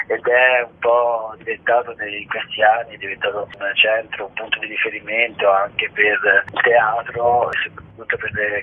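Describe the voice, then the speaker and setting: A man giving conversational, halting interview answers as an artistic director. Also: fairly low pitch, breathy edge. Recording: tinny phone line.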